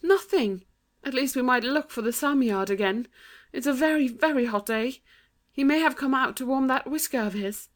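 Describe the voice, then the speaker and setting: A woman's voice: high voice, normal speed, pitched above the performer's own voice, close to an everyday voice. A woman voicing an earnest, dramatic, kindly young British girl in a storybook reading, well-spoken and sincere. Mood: dramatic, gloomy.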